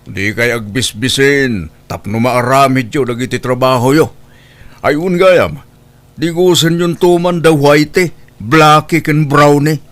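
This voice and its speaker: A man's voice: mid-range voice, slow pace, fairly bright tone. A man playing the head of a household who instructs his maids in an Ilocano comedy drama. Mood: calm, instructing. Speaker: voice actor.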